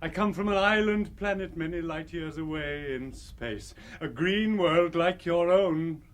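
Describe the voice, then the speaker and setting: An actor playing a huge, gentle, wise telepathic alien in a 1960s radio drama, speaking with solemn, poetic deliberation. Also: male voice, a little high, a little slow, lightly acted. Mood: wry, genial. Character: nine-foot green telepathic alien, gentle, wise and amused.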